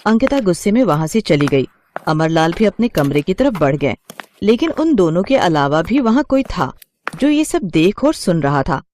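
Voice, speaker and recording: A woman's voice: lowish voice, sing-song, normal speed, a little mellow, slight background noise. A woman narrating a cartoon story.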